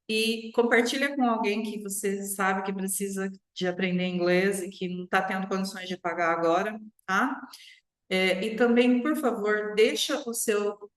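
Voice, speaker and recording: A Brazilian woman giving an online English lesson as a teacher, instructive and often checking in with short tag questions. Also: medium pitch. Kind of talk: online language lesson to viewers. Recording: roomy, echoing sound.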